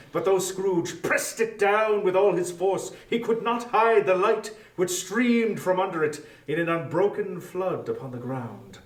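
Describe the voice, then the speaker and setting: A man narrating a classic story as a dramatic reading, measured and formal. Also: sits high. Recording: roomy echo, distant microphone.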